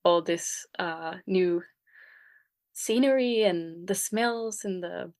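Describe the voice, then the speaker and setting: A young woman with a Danish accent, a guest talking casually in an interview, often pausing with fillers and restarting her sentences. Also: on the low side, darkish tone. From Denmark. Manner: hesitant, many fillers and restarts. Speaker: guest artist. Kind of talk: casual conversation.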